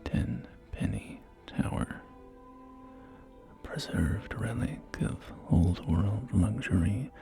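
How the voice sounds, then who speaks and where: Man acting as wasteland property salesman, ASMR whisper, low-pitched, unhurried, polite, formal sales patter. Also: lively intonation, creaky, mellow, faint music behind, full character voice. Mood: smooth selling. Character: polite male wasteland real estate agent, salesman. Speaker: ASMR roleplayer.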